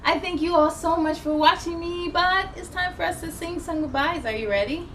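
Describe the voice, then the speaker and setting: A woman's voice: very high-pitched, normal speed, background noise. A woman hosting a children's story time, reading and chatting expressively and speaking to young viewers in an encouraging way. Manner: encouraging, addresses children directly. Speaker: children's storytime host and reader. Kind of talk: expressive read-aloud narration for young children.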